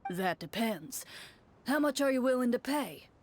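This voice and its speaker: Brief: gruff, penny-pinching game merchant. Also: male voice, very high voice, animated, unhurried, a little hiss or hum. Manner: gruff, dismissive, haggling. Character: stingy, surly middle-aged male city merchant. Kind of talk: video game dialogue.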